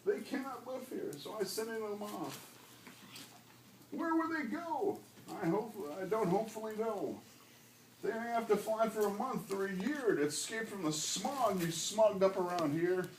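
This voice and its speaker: Indignant old male storybook creature, played by a man, high and croaky, emphatic complainer, picked up at a distance in a classroom. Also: lively intonation, measured pace. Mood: plaintive. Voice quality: coughing, croaky. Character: indignant, scolding old Lorax creature, male, guardian of animals. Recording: roomy, distant mic, faint background noise.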